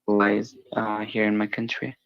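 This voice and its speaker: A man from Nepal chats in a relaxed, friendly way, filling his speech with small fillers. His voice has a gravelly fry. The call audio sounds dull and lo-fi, and the sound is dry. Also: lowish voice, unhurried, no echo. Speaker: online chat partner. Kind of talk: casual chat.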